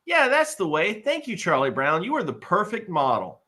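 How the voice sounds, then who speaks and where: Crabby cartoon little girl, played by an adult, brash and scolding, bright voice with animated swings. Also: medium pitch, normal speed. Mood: bossy, pleased. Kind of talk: live comedic table read of a cartoon.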